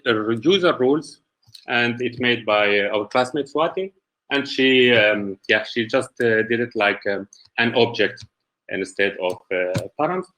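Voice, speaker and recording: A man from Syria giving a technical presentation as a student, speaking haltingly and searching for words in English. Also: mid-range voice, a bit bright, rough recording.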